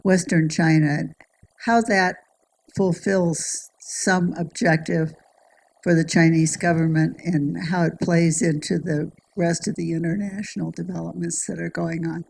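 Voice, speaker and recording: A woman's voice: fairly low pitch, steady tone, unhurried, gravelly, darkish tone, a little hiss or hum, low-quality recording. A woman in the audience asking a question. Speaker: audience member.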